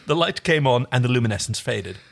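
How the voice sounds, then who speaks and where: A man's voice: mid-range voice, animated, brisk, creaky. A man co-hosting a playful fan fiction reading, narrating aloud and prone to giggling. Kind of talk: animated story reading with character voices.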